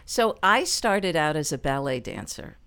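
A middle-aged woman with a low speaking voice, a performer telling stories from her life in an interview, lively and quick to laugh. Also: clear, resonant tone. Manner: lively, laughs often, animated asides. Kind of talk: conversational storytelling interview.

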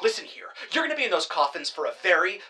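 A woman's voice: fairly low pitch, big pitch swings, fast talker, background noise. An actress playing an exasperated woman who lectures a captive like a disappointed parent. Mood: scolding. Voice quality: sarcastic, parental scolding.

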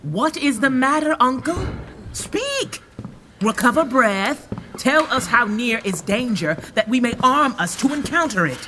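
An actress playing a commanding, mercurial monarch in a Shakespearean radio drama, with theatrical, authoritative delivery. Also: female voice, fairly high pitch, big pitch swings, a little slow, noisy background. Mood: alarmed, commanding.

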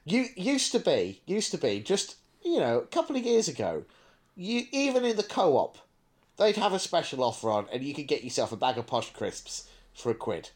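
Male co-host, British, voice a little high and very melodic. Wry, mock-serious, conversational. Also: huge pitch swings, moderate pace. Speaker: podcast co-host. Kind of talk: casual podcast chat.

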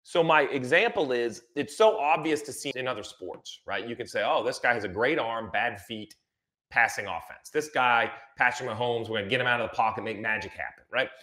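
A man's voice: medium pitch, brisk, bright, forceful tone. A man giving conversational motorsport analysis, emphatic and repeating phrases to drive points home.

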